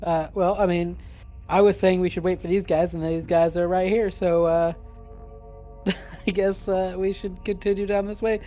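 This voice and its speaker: Guy playing an rpg, unsure and halting, muffled audio, music in back. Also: high voice, flat delivery, mellow. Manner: tentative, halting. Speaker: tabletop game player. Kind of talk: casual game discussion.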